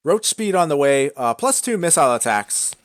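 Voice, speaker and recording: A young man casting a strategy game match in a relaxed, chatty way, with frequent fillers and restarts. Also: a little high, moderate pace, no echo, studio quality. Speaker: esports caster. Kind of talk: casual play-by-play commentary.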